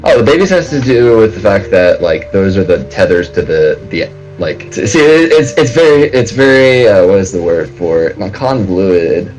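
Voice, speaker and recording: Low-voiced man rambling on a podcast. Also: very animated, darkish tone, soft background music, rough recording. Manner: halting, rambling explanations; casual, frequent swearing. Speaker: podcast co-host. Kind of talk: casual panel chat.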